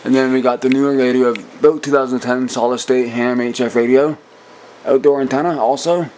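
A man's voice: medium pitch, fairly even intonation, darkish tone, a little hiss or hum. A man casually showing and comparing his shortwave radios in a small room, speaking plainly into a camera mic. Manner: plain, unhurried, trails off mid-phrase. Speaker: radio hobbyist. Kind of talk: casual gear demo.

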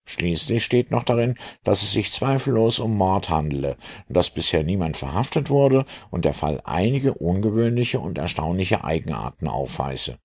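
A man voicing a brisk, excitable male police inspector in an audiobook, urgent and emphatic. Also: low-pitched, breathy edge, exaggerated voice. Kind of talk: audiobook character dialogue.

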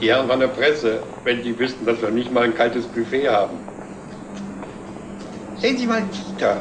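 Casting: man to play wry company boss, medium pitch, TV drama; roomy, noisy vintage sound. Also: expressive, normal speed, distant mic, close to an everyday voice. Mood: wry, amused. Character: wryly mocking male company director, sardonic and self-ironic. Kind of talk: scripted television drama dialogue.

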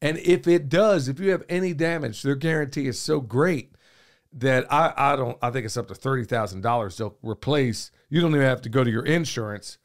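A man, hosting a podcast. He sounds a bit hoarse and talks in a folksy, rambling way. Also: medium pitch, fairly quick. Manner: folksy and talkative, says y'all, gestures a lot. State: hoarse, losing his voice. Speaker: podcast host. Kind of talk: casual podcast chat. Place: small podcast studio, indoors. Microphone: studio mic on boom arm, headphones on.

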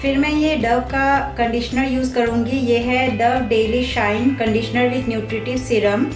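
Young woman gently explaining a hair routine. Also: fairly high pitch, slow, distant mic, over music, low-quality recording. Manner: calm, instructive, steady. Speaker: beauty vlogger. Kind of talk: step-by-step tutorial. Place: small room indoors.

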